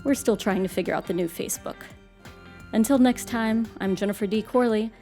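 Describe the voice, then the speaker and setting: An American woman hosting a horror radio play series, reading scripted credits in an arch, wry, deliberate horror-hostess style. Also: medium pitch, dark tone, faint music behind.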